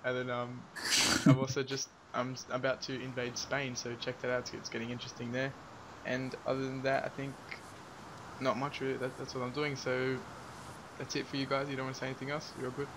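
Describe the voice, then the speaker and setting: A man's voice: medium pitch, monotone, a little fast, a crowd in the background, poor audio. A man hosting a casual online podcast, laid-back and full of fillers, laughing now and then. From Australia. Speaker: podcast host. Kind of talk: casual group chat.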